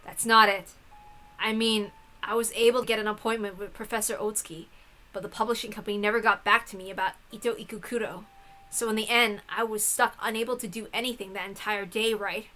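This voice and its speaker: A woman's voice: medium pitch, steady tone, brisk, pushed and bright, lightly acted. A woman voicing a troubled but defiant young story heroine with an earnest, questioning manner. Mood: confused.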